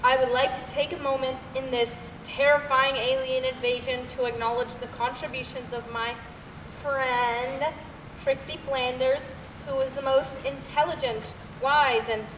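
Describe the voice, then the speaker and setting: A woman's voice: sits high, steady tone, measured pace. A woman playing a solemn, overwrought radio announcer with grave, dramatic delivery in a stage radio play. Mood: humbled. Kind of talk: mock 1930s radio broadcast acting on stage. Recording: distant echoey hall, clear noise.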